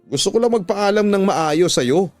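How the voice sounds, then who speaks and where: A man's voice: sits high, expressive, moderate pace, no echo, soft background music, voice pushed up. A man playing an unfaithful husband in a radio drama, guilty and earnest, pleading yet resolute. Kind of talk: Tagalog radio drama acting.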